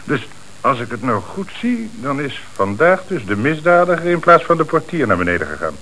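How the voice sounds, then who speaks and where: An actor playing a methodical, inquisitive police commissioner in a radio play. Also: male voice, on the high side, sing-song, bright, forceful tone, heavily acted, low-quality recording. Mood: probing. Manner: inquisitive, measured. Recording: hissy old radio play recording.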